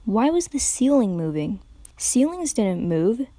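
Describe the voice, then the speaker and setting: Woman narrator, dramatic story reading, very slow and mellow, dry close sound. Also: a little high, big pitch swings, no room sound. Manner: slow, brooding, dreamlike.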